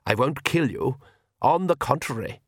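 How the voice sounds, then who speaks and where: A man's voice: mid-range voice, lively intonation, moderate pace, breathy edge, dry recording, clean studio sound. A man voicing an arrogant, urbane vampire count with a mocking, theatrically polite manner. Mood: coolly menacing.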